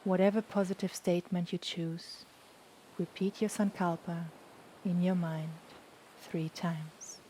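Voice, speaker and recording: A woman guides a relaxation meditation in a low, mellow voice. She speaks very slowly and softly, leaving long, soothing pauses between phrases. A faint wash of ocean sound runs behind her. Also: rough recording. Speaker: yoga nidra meditation guide. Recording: steady background noise, ocean waves.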